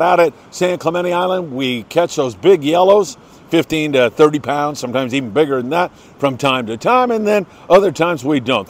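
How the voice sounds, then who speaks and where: An older American man talks through a fishing report in a slightly high-pitched voice. He is chatty and folksy, with plenty of enthusiasm. His delivery is casual, like a show host speaking straight to regular listeners. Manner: chatty, enthusiastic, folksy, congratulating people by name.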